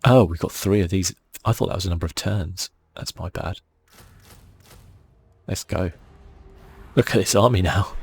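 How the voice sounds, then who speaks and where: Male ASMR gamer: very low, creaky voice, hushed musing commentary, faint music underneath. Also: normal speed, pauses often. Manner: casual, musing, thinking aloud. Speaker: gaming channel host. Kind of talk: ASMR video game commentary.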